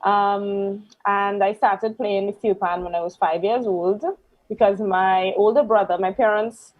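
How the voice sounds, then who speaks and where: A woman with a Trinidadian accent talks through her story in an easygoing way, her speech scattered with ums and small laughs. Her voice is mid-pitched. The audio is dry and a little muffled. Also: a little slow, no echo. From Trinidad and Tobago. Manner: relaxed storytelling, frequent ums and soft laughs. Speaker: guest musician. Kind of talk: casual interview chat.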